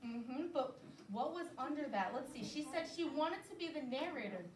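A woman teaching a class of young children, lively and coaxing, with call-and-response cues. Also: high voice, moderate pace. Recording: echoey classroom from far off, light noise.

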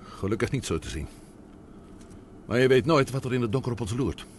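A man's voice: medium pitch, expressive, fairly quick, natural voice. An actor playing an anxious, questioning man aboard a submarine in a radio drama, full of wonder and unease. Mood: uneasy. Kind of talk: Dutch radio drama acting. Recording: noisy old radio drama recording.

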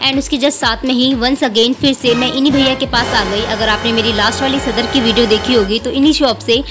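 A woman's voice: a little high, steady tone, a little fast, loud music behind the voice. Chatty young woman vlogging a market. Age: young adult. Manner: chatty, repeats words for emphasis, mixes English into Hindi. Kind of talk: chatty shopping vlog voice-over. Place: busy outdoor street market.

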